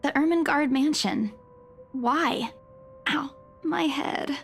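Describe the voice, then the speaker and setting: Woman doing a high, slow, groggy young woman. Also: faint music behind. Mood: dazed. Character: groggy, confused young female agent Isabella in horror story. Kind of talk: visual novel voice acting by a player.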